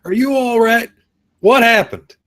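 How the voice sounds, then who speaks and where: A man's voice: very high-pitched, a little slow, fairly bright tone, no room sound, clean studio sound. A man playing an anxious, caring father in his fifties in a screenplay table read. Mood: alarmed.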